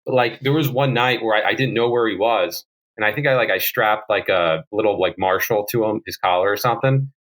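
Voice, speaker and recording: A man's voice: on the low side, brisk, fairly bright tone, rough recording. A young man in his twenties from New Jersey, a guest falconer telling stories in casual podcast chat, full of likes and ums. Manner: rambling storyteller, many likes and ums.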